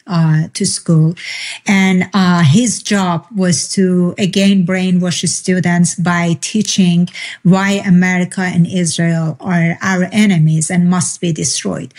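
A woman's voice: on the low side, a little mellow. A woman in her forties from Iran, a native Farsi speaker with a Persian accent, giving earnest testimony in hesitant phrases. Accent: Iranian Persian. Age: 40s. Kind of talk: earnest personal testimony and political commentary.

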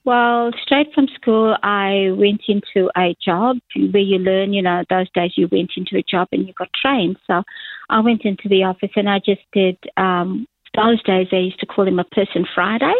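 A woman's voice: mid-range voice, fairly even intonation, moderate pace, no room sound. A woman in her sixties from South Africa, telling her life story as an interview guest in a chatty, rambling way with frequent laughs. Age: 60s. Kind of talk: casual testimony interview. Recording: tinny phone line.

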